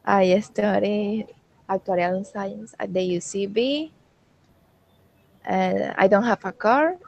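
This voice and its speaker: A woman of twenty-one, speaking slow, halting English. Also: mid-range voice, gravelly, a little mellow. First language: Spanish. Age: 20s. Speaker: student participant. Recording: dull lo-fi voice call.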